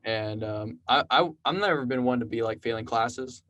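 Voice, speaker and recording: A man's voice: mid-range voice, normal speed. Twenties guy from South Texas, laid-back interview guest who rambles through stories. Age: 20s. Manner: relaxed, rambling storyteller with many fillers. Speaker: podcast guest, programmer and musician. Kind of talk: casual conversational interview.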